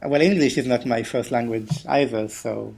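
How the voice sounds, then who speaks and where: A gravelly-voiced man who learned English as a second language after growing up with Hebrew. He talks haltingly in a podcast interview, stumbling and repeating words as he picks them. His pitch sits in an ordinary middle range. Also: darkish tone. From Israel. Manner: hesitant, many repeated words and restarts. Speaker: guest, game translator. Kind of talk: podcast interview over a call.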